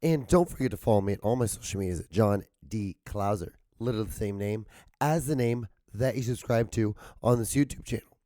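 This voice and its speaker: A man hosting his own podcast with a hoarse voice that cracks and gives out. He rambles casually, swears often, and holds back his volume to spare his throat. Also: fairly low pitch, fairly quick, warm tone, dry recording, studio quality. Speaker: podcast host.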